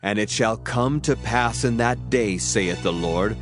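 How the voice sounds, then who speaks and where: A man's voice: on the low side, unhurried. A man giving a solemn, dramatized audio Bible reading with declamatory emphasis. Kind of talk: dramatized scripture reading in archaic English. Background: background music.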